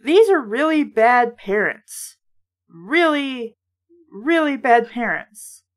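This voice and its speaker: Casting: woman book critic, higher-pitched voice, sardonic and sweary, moving between read-aloud and offhand remarks. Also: sing-song, slow. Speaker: host reading and critiquing a children's book. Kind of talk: read-aloud with sarcastic casual commentary.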